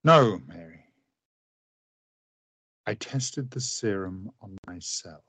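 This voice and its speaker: An actor in a radio play playing a tormented, remorseful gentleman scientist with grave, formal, deliberate diction. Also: on the low side, lively intonation, husky, no room sound, full character voice. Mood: confessing.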